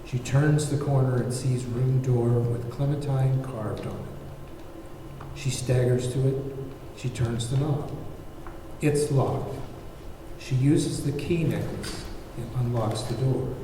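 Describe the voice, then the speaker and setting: A very roomy, reverberant recording of a man narrating a screenplay's stage directions. His voice is mid-pitched and sits away from the mic, with faint noise. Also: slow pace. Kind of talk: scripted narration at a table read. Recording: very echoey, distant, faint noise.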